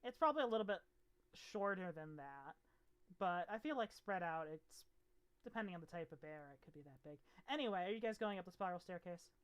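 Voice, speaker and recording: Brief: woman game master, lowish voice, casual, mutters while she works the map. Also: animated, a little fast, smooth. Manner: casual, thinks aloud while working the map. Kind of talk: running a tabletop game over voice chat.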